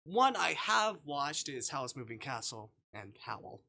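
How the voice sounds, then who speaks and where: Bright-voiced, animated game streamer rambling casually. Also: medium pitch, slow, gravelly. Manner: rambling, thinking aloud. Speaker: live streamer. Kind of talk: casual livestream chat while playing visual novels.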